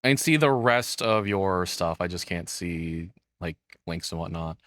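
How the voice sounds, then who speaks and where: Male streamer, low dry voice, loose rambling reaction chat with casual cursing. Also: expressive, unhurried, fairly bright tone, dry recording. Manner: rambling, self-deprecating, swears casually.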